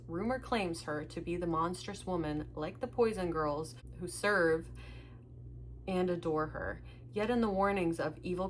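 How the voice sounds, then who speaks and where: A woman's voice: lowish voice, slight background noise, poor audio. A young woman, Russian her first language, chatting casually as she unboxes books and reads blurbs aloud at home, laughing at her own stumbles. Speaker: book unboxing vlogger.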